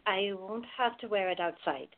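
A woman acting a tender, protective woman in love, quietly insecure, in a radio play. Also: medium pitch, expressive, normal speed, narrow, tinny audio, close to an everyday voice. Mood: tender, unsure.

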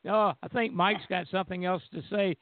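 A man's voice: sits high, fairly quick, no echo. Older man on a tinny phone line. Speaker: radio show host. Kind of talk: casual chat. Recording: phone-like, narrow band audio.

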